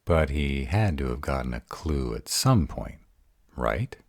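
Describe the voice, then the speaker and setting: A man's voice: very deep voice, animated, unhurried, breathy edge, no room sound, clean studio sound, heavily acted. A man acting a sarcastic, baffled vampire in an audio roleplay drama, wary and exasperated, with a casual, sweary manner. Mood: worried, probing.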